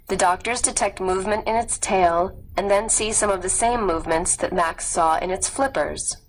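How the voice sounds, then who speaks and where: A woman narrating a scripted nonfiction story in a measured, clearly read delivery. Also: mid-range voice, low-quality recording. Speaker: audiobook narrator.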